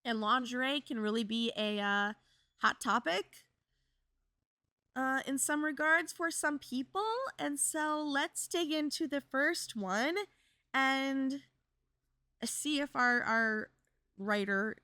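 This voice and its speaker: A woman's voice: high-pitched, takes it slowly, bright, forceful tone, dry recording. A woman in her thirties from Wisconsin hosting a podcast, a bit froggy and congested from a cold, chatty and upbeat as she reads stories aloud and adds asides. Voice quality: slightly froggy. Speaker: podcast host and boudoir photographer. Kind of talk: casual podcast chat, reading stories aloud.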